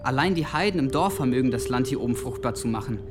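An actor playing an eager, earnest young monk who explains things carefully in a radio drama. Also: male voice, mid-range voice, lively intonation, quick pace, music in the background, lightly acted.

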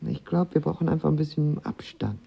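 A man's voice: a little high, expressive, brisk, gravelly, slightly rough, highs cut off, full character voice. An actor playing a lovestruck, insecure young man in an intimate radio drama, speaking in an earnest, confessional manner. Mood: quiet, tense. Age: young adult. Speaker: lead actor and narrator.

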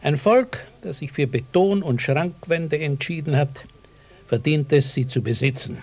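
An actor playing an elderly village schoolmaster in a radio drama, speaking in a wordy, oratorical and dryly ironic way. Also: male voice, a little high, darkish tone. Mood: ironic, lofty. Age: older. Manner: measured, oratorical, dryly sardonic. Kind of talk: German radio drama acting.

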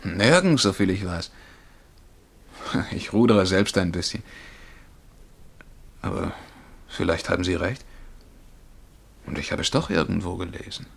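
An animated, creaky-voiced dreamy young clerk. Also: male voice, deep voice, a little slow, pauses often, full character voice. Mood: matter-of-fact. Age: young adult. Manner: fervent, absorbed, vividly descriptive. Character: dreamy, excitable twenty-year-old male bank clerk and would-be poet. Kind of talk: German radio play acting.

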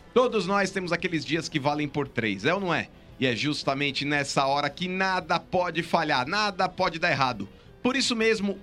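A man with a fairly high voice and a São Paulo Brazilian accent, talking as a sports radio host. He is slangy and matey, with light music behind him. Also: bright, forceful tone. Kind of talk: casual sports radio banter and live ad reads.

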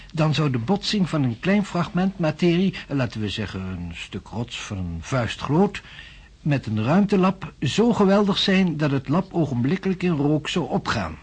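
Confident skeptical professor, radio drama, slightly high male voice, husky, pompous, emphatic. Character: self-assured, eminent male physics professor, brisk skeptic.

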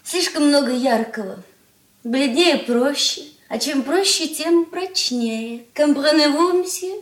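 An actress playing a cool, capricious young fiancée in a radio drama, curt and commanding with a languid stage delivery. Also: female voice, sits high, slow pace. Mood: cool, teasing. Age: young adult. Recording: roomy studio echo.

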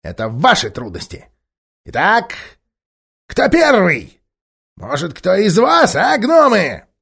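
A man voicing a scheming, bossy talking ape in an audiobook, shrill, mocking and shouting. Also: wildly swooping pitch, slow, creaky, husky, full character voice. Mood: taunting. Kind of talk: audiobook character voice.

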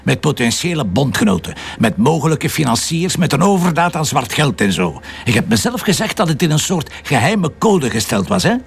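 An actor playing a cocky, stubborn Flemish police detective who speculates aloud with sarcastic self-assurance in a crime drama. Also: male voice, on the high side, sing-song, a little fast, gravelly, hardly any pauses, slight background noise. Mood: insistent. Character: cocky, stubborn male police detective following his gut. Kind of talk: naturalistic television crime drama acting.

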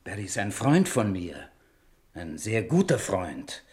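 An actor playing a worried, self-interested show-business manager who speaks deliberately and emphatically in a radio crime drama. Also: male voice, fairly low pitch, sing-song, a little mellow. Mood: worried, businesslike.

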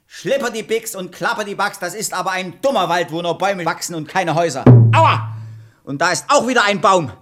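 Kaspar, a bright, cheeky male clown. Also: high-pitched, lively intonation, a little fast, soft background music. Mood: lost, grumbling. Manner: exclamatory, clownish, nonsense catchphrases. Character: Kaspar, cheeky, naive, boastful puppet-theatre clown. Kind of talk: fairy-tale radio play acting.

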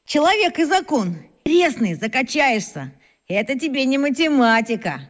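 A swaggering fifth-grade boy in a children's radio drama. The voice is high and swoops up and down as he shows off, with a long reverb around it. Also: sing-song, moderate pace. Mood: cocky, dismissive. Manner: cocky, swaggering, emphatic storytelling. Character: boastful schoolboy, fifth grader, self-important would-be detective. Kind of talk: children's radio play acting.

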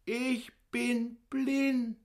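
A woman's voice: a little high, level tone, extremely slow, a little mellow. A woman playing a frightened, haunting childlike voice in a literary radio drama.

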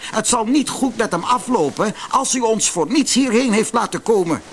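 A male reader puts on a sultan's servant from a fairytale, brusque and commanding. The voice jumps high and swings animatedly. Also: lively intonation, moderate pace, a little hiss or hum. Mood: demanding.

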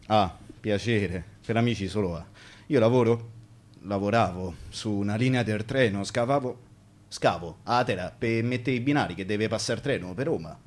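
An actor with a Roman accent playing the colloquial ghost of a railway digger killed at work, in Italian radio theatre. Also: male voice, on the low side, normal speed, lightly acted. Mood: apologetic, subdued. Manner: colloquial, plain-spoken. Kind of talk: Italian radio theatre acting in verse-like dialect dialogue.

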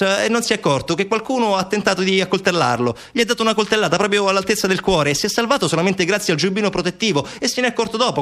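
A man's voice: high-pitched, fairly bright tone. A man performing a theatrical narrative monologue as a stage actor, with an ironic, questioning manner. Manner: rhetorical questions, ironic, acting out quoted replies.

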